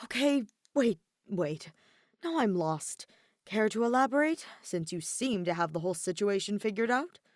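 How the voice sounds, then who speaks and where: A woman acts out an indignant young man from an audio story, dramatic and easily exasperated. The voice is loud and incredulous, leaping about in pitch and close to spluttering. The sound is dry and close. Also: expressive, moderate pace, dry recording, lightly acted. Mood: sarcastic, exasperated.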